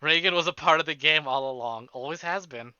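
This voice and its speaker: A man hosting a casual livestream, chatting in an excitable, playful, profane way. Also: a little high, a little fast, clean tone, no echo. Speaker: livestream host. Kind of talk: casual streaming chat between visual novel readings. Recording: dull lo-fi stream audio under music. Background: prominent music.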